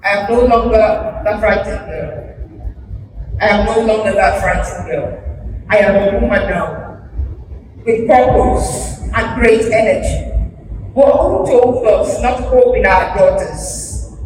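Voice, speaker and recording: A woman giving a theatrical spoken-word reading, emphatic and declamatory, with dramatic pauses. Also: medium pitch, background noise. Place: live stage at an awards event. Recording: echoey hall, distant mic, music behind. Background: music.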